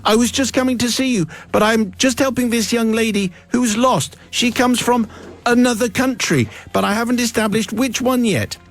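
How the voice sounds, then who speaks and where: A man's voice: very high voice, pitched above the performer's own voice. A man voicing a kindly, helpful lady, carefully enunciating in a comic radio story. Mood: kindly, bright. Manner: slow, loud, carefully enunciated. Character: kindly, helpful lady speaking slowly to a foreigner.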